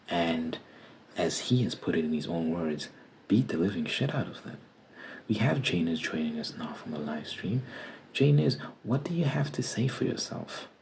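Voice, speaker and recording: A man doing a soft-spoken news anchor, deep voice. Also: gravelly, a little hiss or hum. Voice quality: soft-spoken, half-whispered. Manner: stiff, stammering interviewer tone. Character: man playing a stern, formal TV news anchor. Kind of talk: ASMR roleplay.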